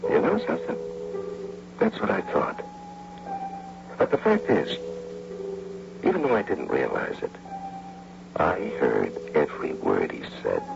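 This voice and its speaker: A man's voice: a bass voice, wildly swooping pitch, measured pace, gravelly, pauses often, music in the background, heavily acted. An actor playing a big, touchy unemployed husband in a radio drama, brooding and emphatic as he speaks and narrates. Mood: reflective, brooding. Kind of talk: old-time radio drama acting and first-person narration. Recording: noisy dull vintage radio broadcast recording.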